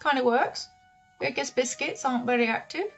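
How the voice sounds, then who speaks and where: An actress playing a doting, scatterbrained, haughty pantomime stepmother, gushing and halting in a theatrical way. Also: sits high, lo-fi sound. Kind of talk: comic pantomime acting. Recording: music behind the voice.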